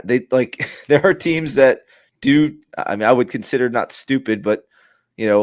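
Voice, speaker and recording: A man's voice: on the low side, no room sound, studio quality. A man co-hosting a casual sports podcast, talking in a rambling way with restarts. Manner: rambling, many restarts and fillers. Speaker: podcast co-host. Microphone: remote streaming mic.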